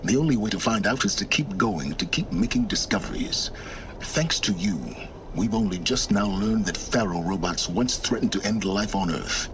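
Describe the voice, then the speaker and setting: An actor playing an aloof, impatient middle-aged scholar of ruins in a video game, measured and condescending. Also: male voice, on the low side, animated, noisy background, natural voice. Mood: grave, explaining. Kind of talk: dramatic video game acting.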